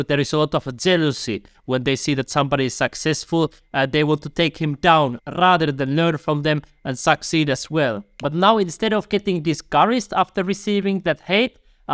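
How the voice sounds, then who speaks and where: A man's voice: on the high side, moderate pace, fairly bright tone, dry recording, clean studio sound. A man with a Finnish accent, a YouTube coach giving earnest step-by-step advice straight to the viewer, calling them "my friend". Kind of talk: advice video to camera.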